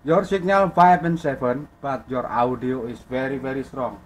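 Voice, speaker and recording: Indonesian-accented ham radio man, noisy background. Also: mid-range voice. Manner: friendly, halting, repeats phrases. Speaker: amateur radio operator. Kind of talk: ham radio contact, spelling callsigns phonetically.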